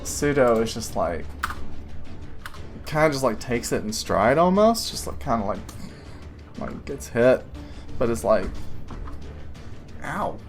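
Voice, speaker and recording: A woman's voice: very deep voice, very animated, slow, pauses often, soft background music. A woman narrating a tabletop game as game master, loose and giggly with lots of fillers.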